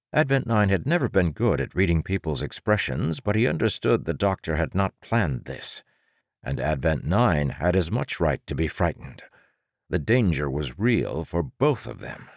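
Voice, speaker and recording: Casting: male audiobook narrator, low register, highly animated dramatic read, dry studio-close sound. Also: vocal fry, no room sound.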